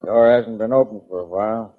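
An actor playing a plain-spoken, easily spooked police underling with deadpan wisecracks in a 1940s radio drama, husky-voiced on a lo-fi recording. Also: male voice, fairly low pitch, fairly quick, natural voice.

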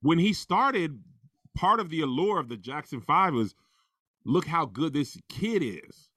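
A man with a lively, up-and-down voice jokes and makes puns as he hosts a loose group conversation. His voice sounds close and dry, with no room around it. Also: a little high, measured pace, no echo. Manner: joking, punning, animated. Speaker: podcast host. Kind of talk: casual group chat.

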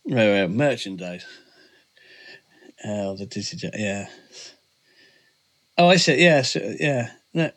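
A man's voice: lowish voice, sing-song, takes it slowly, long pauses, fairly bright tone. A man who is a guest on a casual podcast, talking loosely, laughing often and trailing off, recorded on a large condenser studio microphone. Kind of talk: casual chat. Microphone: large condenser studio mic.

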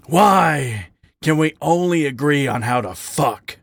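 A raw, bitter male badger pours out his heartache, his voice climbing high and swinging wide between pleading and ragged outbursts, as a male reader puts him on. The tone carries a gravelly grit. It sounds dry and close, with no room around it. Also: lively intonation, slow pace, fairly bright tone, no room sound, exaggerated voice. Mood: anguished.

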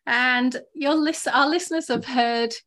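A woman's voice: sits high, measured pace, fairly bright tone. A woman hosting a podcast in an upbeat, effusive way, laughing often. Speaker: podcast host.

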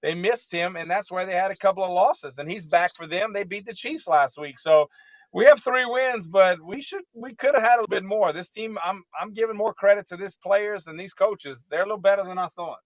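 A man's voice: high-pitched, fairly quick, no echo, muffled recording. A man hosting a sports talk segment, chatting casually about a football team and often repeating words as he starts a thought. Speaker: radio sports host.

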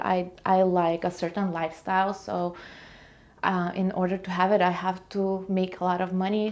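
A woman's voice: lowish voice, darkish tone. A woman from Bulgaria, a guest entrepreneur telling her story in a casual interview, earnest and upbeat with frequent ums and restarts.

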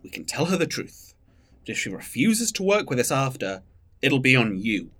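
Serious, expressive sci-fi train crewman. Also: medium pitch, moderate pace. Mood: tense, defensive. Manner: serious, matter-of-fact. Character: earnest, burdened, pragmatic male sci-fi train crew member. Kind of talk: audio drama acting.

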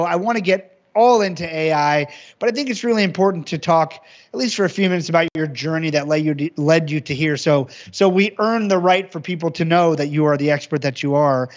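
A male podcast host with a somewhat raised pitch reads a guest introduction and then chats. He sounds enthusiastic and stumbles now and then, repeating words. The sound is dull and lo-fi. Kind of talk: reading a guest bio, then conversational questions.

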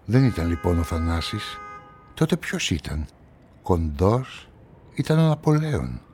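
Deep-voiced, brooding journalist narrator. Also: male voice, very animated, darkish tone, breathy edge, full character voice. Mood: inquisitive. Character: brooding male journalist narrator turned amateur sleuth. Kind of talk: radio play narration and dialogue. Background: music.

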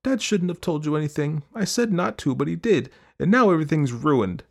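A man's voice: on the high side, lively intonation, fairly quick, creaky, pitched above the performer's own voice. A man voicing a young girl in a story, earnest, hurt and a little stubborn. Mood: sullen.

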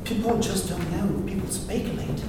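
A man's voice: sits high, normal speed. A man from France with a French accent, giving a wry, emphatic public speech at a forum launch. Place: large event hall. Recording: echoey hall from far off, noisy.

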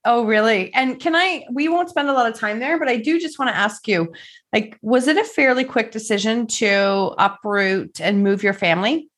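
A woman's voice: on the high side, moderate pace, fairly bright tone. A woman hosting an interview, encouraging and affirming her guest as she asks questions. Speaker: podcast host.

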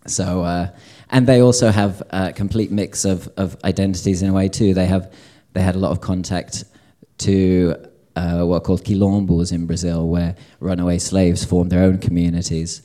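A man with a low voice and a dark, mellow tone talks on a panel. He hesitates often, filling gaps with "uh" and restarting. His asides have a dry, wry edge. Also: level tone, moderate pace, hardly any pauses. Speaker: publisher on a panel. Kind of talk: panel discussion. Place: lecture event before an audience.

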